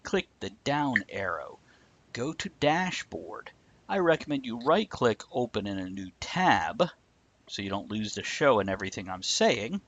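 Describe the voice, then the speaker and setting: A man's voice: on the low side, big pitch swings, measured pace, a bit bright, a little hiss or hum. Dull lo-fi recording, jokey male streamer. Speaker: livestream show host. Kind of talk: casual joking banter and step-by-step explaining. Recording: dull lo-fi livestream audio.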